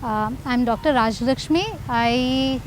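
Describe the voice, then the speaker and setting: A woman's voice: fairly high pitch, slow, background noise. A woman in her thirties with an Indian accent, a doctor telling her own story conversationally in an interview. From northern India.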